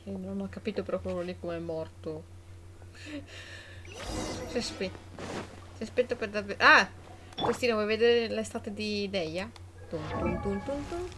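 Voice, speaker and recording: Girl streaming a game, casual playful commentary, average pitch, music going in the background. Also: expressive, moderate pace, long pauses. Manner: playful, teasing asides. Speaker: live streamer.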